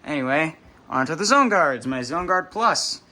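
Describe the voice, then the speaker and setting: Bright-voiced man, rambling casually. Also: on the high side, lively intonation, moderate pace. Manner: rambling, trailing off and correcting himself. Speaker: hobbyist vlogger presenting a radio collection. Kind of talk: casual unscripted show-and-tell.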